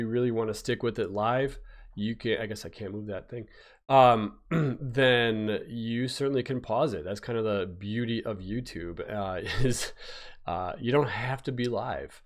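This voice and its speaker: A man who is a physics teacher, giving a casual live-streamed exam review while stuffy from a cold, rambling with fillers and restarts. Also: mid-range voice. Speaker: high school physics teacher. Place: home, recording a YouTube live stream.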